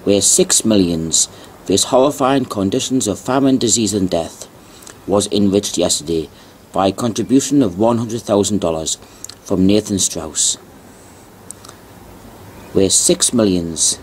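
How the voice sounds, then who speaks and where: Low-voiced man reading aloud, background noise. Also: a little mellow, poor audio. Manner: deliberate, repeats key phrases. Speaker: video narrator. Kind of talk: reading old newspaper articles aloud with plain commentary.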